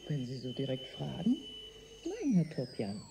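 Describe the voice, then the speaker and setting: A woman's voice: very deep voice, huge pitch swings, normal speed, vocal fry, background noise, heavily acted. An actress playing a disapproving, pointed woman in a German science-fiction radio drama. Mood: reproachful.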